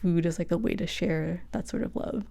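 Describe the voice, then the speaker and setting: Young guest chef, first language Vietnamese, low-pitched, loose rambling chat. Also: female voice, a little fast, vocal fry, warm tone. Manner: chatty, many fillers, laughs often. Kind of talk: casual podcast conversation.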